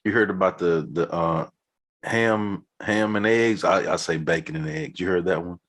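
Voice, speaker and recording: A man's voice: sits low, moderate pace, a bit bright. A man hosting an interview, talking in a casual, enthusiastic and affirming way and laughing easily. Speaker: podcast host.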